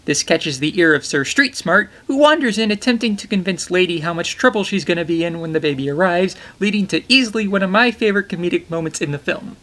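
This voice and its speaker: A man's voice: high voice, quick pace, hardly any pauses, fairly bright tone. A young man narrating a comedic film review from a small bedroom, with jokey, enthusiastic asides.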